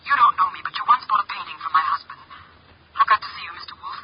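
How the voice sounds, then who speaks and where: A woman's voice: lowish voice, rapid-fire. An actress playing an urgent, pleading woman phoning a detective in a 1950s radio drama, with a telephone voice. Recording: muffled, hissy 1950 radio recording.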